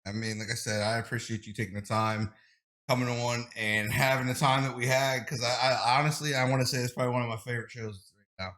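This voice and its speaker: A man's voice: medium pitch, steady tone, fast. A man in his thirties hosting a casual podcast chat, crude and joking. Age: 30s. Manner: crude, joking, laughs loudly at own jokes. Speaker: podcast host.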